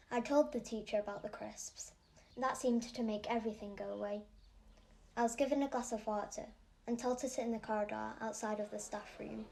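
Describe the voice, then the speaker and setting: Woman as a solemn, mellow-voiced little girl. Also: on the high side, a little hiss or hum, close to an everyday voice. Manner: matter-of-fact storytelling. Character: eight-year-old Yorkshire girl, solemn, odd, neglected. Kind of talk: first-person audio drama monologue.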